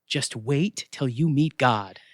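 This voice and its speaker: An actor playing a breezy, wisecracking attendant who checks souls into the afterlife in an audio theatre comedy, chatty and flippant. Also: male voice, medium pitch, slow pace, no echo, clean studio sound, lightly acted. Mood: upbeat, reassuring.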